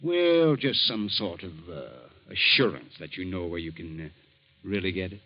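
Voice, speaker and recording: An actor playing a scheming ex-lawyer who talks in an insinuating, menacing way, in old radio acting. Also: male voice, low-pitched, animated, moderate pace. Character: scheming sly male ex-lawyer. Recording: dull old radio recording.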